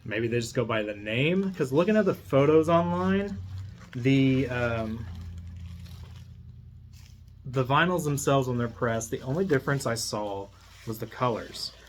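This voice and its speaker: A man's voice: mid-range voice, a little slow, long pauses. Casual man rambling over a background hum. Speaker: vlogger presenting an unboxing. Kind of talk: casual unscripted product unboxing chat. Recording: clear steady background hum.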